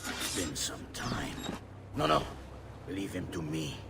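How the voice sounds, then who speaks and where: An actor playing a sinister, imperious older villain in a video game, with a haughty, menacing, deliberate delivery. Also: male voice, low voice, very animated, gravelly, exaggerated voice. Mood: cold menace. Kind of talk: video game cutscene acting. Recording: noisy ambience behind the voice.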